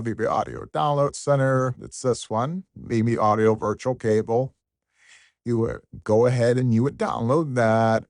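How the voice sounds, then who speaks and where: A man giving an informal, unscripted software tutorial, rambling a little and thinking aloud. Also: lowish voice, dry recording, studio quality. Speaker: tutorial presenter.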